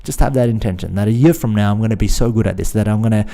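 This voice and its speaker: Gravelly Australian man coaching. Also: on the low side, racing, mellow. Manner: earnest, repeats words, restarts phrases. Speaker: podcast host and fitness business coach. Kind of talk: casual solo podcast talk.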